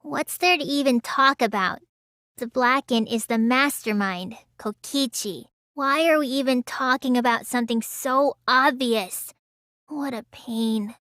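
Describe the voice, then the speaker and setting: A woman's voice: high-pitched, sharp tone, dry recording, studio quality. A woman voicing a petite, whiny teenage girl mage in a video game, with a childlike voice and a sluggish manner. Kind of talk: English video game voice acting.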